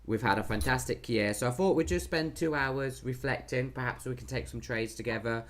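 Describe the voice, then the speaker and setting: A British man with a hoarse, worn voice, chatting casually as the host of a live stream. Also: mid-range voice, a little fast. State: hoarse from losing his voice.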